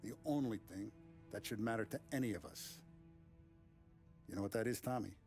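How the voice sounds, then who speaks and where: An actor playing a calm, commanding middle-aged mob boss in a game cutscene, measured and quietly menacing. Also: male voice, on the low side, gravelly, faint music behind. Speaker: crime boss. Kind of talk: video game cutscene acting.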